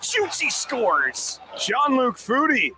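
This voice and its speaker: A man giving excited live hockey play-by-play commentary. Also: very high-pitched, slow, soft background music. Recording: clear background arena noise.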